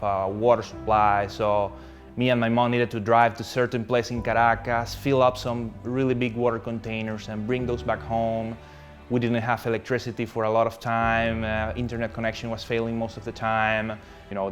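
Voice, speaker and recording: A young man speaks in a low, very even voice. He is steady and earnest, with frequent "uh" fillers. Soft music plays under him. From Venezuela. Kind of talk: personal testimony to camera.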